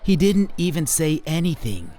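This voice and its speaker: A man narrating a children's book as an awkward, self-deprecating young bean, wistful and earnest in a confiding, expressive way. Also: a little high, voice pushed up. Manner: expressive, unhurried, confiding first-person storytelling. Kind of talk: children's picture book read-aloud.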